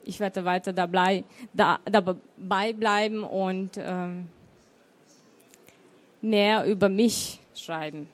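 A middle-aged woman whose first language is Romanian, with a Romanian accent, reading her poems in a deliberate, emotional way. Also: mid-range voice, fairly even intonation. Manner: slow, deliberate, emotional recitation. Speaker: poet and author. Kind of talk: poetry reading.

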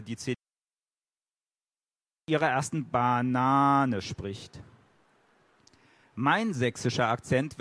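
A male journalist reads a text aloud at a steady, plain pace. His voice sits in a middle register. The sound is dry and close, with no room echo. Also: mid-range voice. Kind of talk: reading an essay aloud.

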